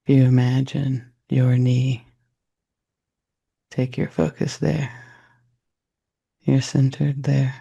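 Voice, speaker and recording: A man leading a guided meditation in a soft, unhurried, reflective way, with long pauses and a gravelly, husky voice. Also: mid-range voice, muffled recording.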